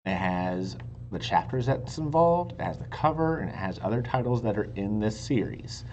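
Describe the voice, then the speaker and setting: A woman's voice: extremely low pitch, lively intonation, moderate pace, mellow. A woman reading a children's storybook aloud expressively, like a teacher addressing young pupils. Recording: muffled sound with faint noise.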